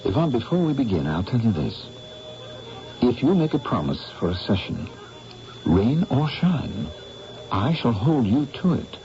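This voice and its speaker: An actor with an English accent voicing a serious, earnest painter who speaks firmly and formally, in a 1970s radio drama. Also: male voice, mid-range voice, sing-song, unhurried, warm tone, faint music behind. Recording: dull old radio broadcast with faint hiss.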